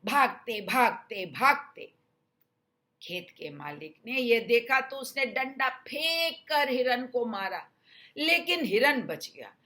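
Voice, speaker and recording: A woman's voice: on the high side, sing-song, slow, bright, forceful tone. A woman narrating a scripted children's story in an explanatory way meant for young listeners.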